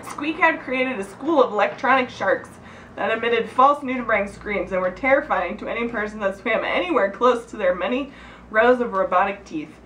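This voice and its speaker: A woman's voice: a little high, moderate pace, fairly bright tone. A woman reading a humorous story aloud to friends in a giggly, informal way, stumbling and breaking off to comment. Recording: echoey room, distant mic, background noise.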